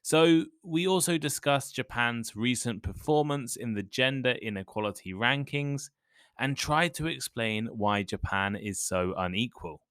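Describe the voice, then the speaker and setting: Man, podcast host, clear measured delivery, mid-pitched voice, dry close sound. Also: a bit bright, dry recording. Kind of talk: scripted intro then casual interview.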